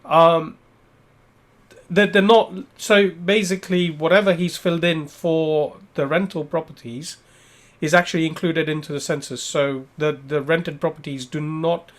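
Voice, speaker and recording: A man moderating a webinar, putting measured questions to the speaker with frequent ums and uhs. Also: on the high side, fairly even intonation.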